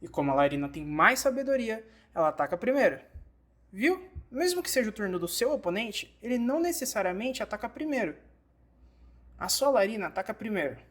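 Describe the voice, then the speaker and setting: A Brazilian Portuguese narrator delivers a scripted game tutorial. The voice sits at a medium pitch and speaks straight to the learner in an instructive way, as in a fan dub. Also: sing-song, brisk, a bit bright. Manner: instructive, addressing the learner directly.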